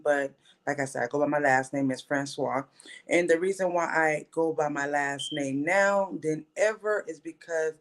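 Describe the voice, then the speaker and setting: Thirties woman, Haitian Creole native, guest telling her life story with earnest pauses. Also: low-pitched. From Haitian family, raised in Florida. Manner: earnest, pausing, self-correcting. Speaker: podcast guest. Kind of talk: personal storytelling.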